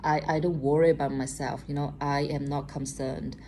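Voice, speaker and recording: A woman's voice: deep voice, little pitch movement, moderate pace, warm tone, a little hiss or hum. A young Chinese woman with a Chinese accent, chatting casually to camera as a vlogger and often tagging on "okay?". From China. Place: room at home at night.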